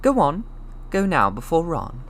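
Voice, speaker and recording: A man voicing a plump, kindly middle-aged mother in an audiobook, brisk, motherly and reassuring. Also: on the high side, wildly swooping pitch, a bit bright, voice pushed up, heavily acted. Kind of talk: audiobook character voice.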